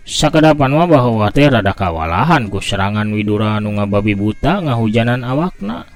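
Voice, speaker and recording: Low-voiced male storyteller, unhurried and animated, faint music behind. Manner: unhurried, lively telling with vivid sound effects. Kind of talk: scripted storybook narration.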